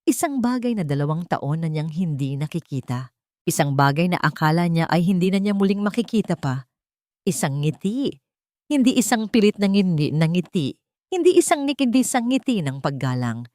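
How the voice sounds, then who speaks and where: A woman's voice: lowish voice, a little mellow, no echo, clean studio sound. A woman narrating a scripted dramatic story in an emotive way, with short, weighted phrases.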